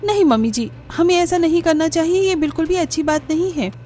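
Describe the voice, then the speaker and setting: A woman's voice: very high voice, fairly quick, mellow, a little hiss or hum, pitched above the performer's own voice. A woman voicing an honest, exasperated young daughter-in-law in a cartoon story, speaking in an earnest, pleading way. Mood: upset protest. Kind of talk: cartoon story character dialogue.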